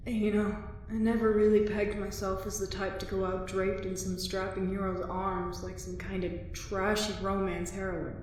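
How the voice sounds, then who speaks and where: Slow, echoing voice of a wounded woman acted. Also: mid-range voice, a little mellow, a little hiss or hum, close to an everyday voice. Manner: wry, halting, self-mocking. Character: wounded, wryly joking woman in a zombie apocalypse. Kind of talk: audio drama acting. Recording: very echoey hall-like reverb.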